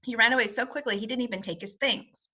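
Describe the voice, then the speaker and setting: A woman's voice: a little high, fairly even intonation, fast, sharp tone. A woman teacher reading a story aloud to young children, expressively, with simple word explanations. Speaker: first grade teacher.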